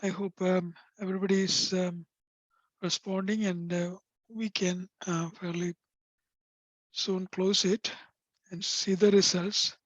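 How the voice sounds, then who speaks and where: A man with a non-native English accent and a high-pitched, soft voice, presenting in a webinar and pausing often with fillers. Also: level tone, dry recording. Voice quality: high-pitched, sometimes hard to hear. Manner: hesitant, many fillers. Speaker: vocational rehabilitation presenter. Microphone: video call. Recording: dull dry video call audio.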